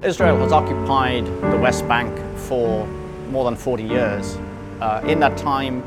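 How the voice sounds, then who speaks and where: Man reporting facts over guitar music. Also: medium pitch. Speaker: field researcher reporting. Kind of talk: factual report. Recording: music bed under voice.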